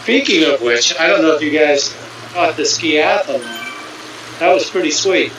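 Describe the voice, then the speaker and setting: Man in his sixties, slightly high voice, dry humor, very echoey room, off-mic. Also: expressive, moderate pace, distant mic, noisy background. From California. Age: 60s. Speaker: cyclist. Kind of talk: casual chat.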